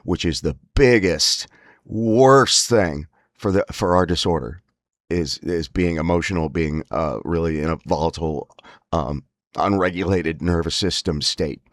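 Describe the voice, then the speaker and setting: A man hosts with a casual, rambling monologue in a deep voice. His speech is strained and broken by spasms, yet wry and emphatic. The sound is close and dry, free of room echo. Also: a little slow. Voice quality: spasmodic, strained breaks from spasmodic dysphonia. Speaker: host of a lifestyle series about a voice disorder.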